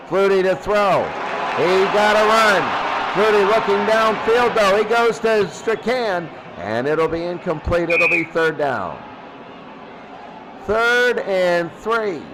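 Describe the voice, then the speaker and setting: A man's voice: sits high, lively intonation, slow, a loud crowd. A man giving live football play-by-play commentary in short, clipped present-tense calls. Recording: loud noise behind the voice.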